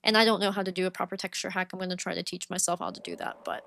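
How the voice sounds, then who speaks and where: A woman's voice: on the low side, very animated, rapid-fire, creaky, a bit bright, a little hiss or hum. A woman streaming a game in casual, rambling chat full of "like" and "um", her voice getting dry and worn from talking so long. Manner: chatty, rambling, frequent "like" and "um". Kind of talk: casual livestream chat while playing. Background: game music.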